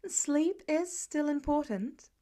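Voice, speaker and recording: A woman voicing a calm, caring mother with a measured, wry delivery in an audiobook story. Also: high voice, pitched above the performer's own voice. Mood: gently dry. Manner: dry, measured.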